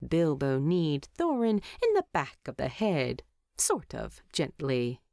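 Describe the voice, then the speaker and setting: A woman's voice: low voice, huge pitch swings, slow, gravelly, warm tone, no echo, studio quality. A woman narrating a scripted story as an audiobook-style reader.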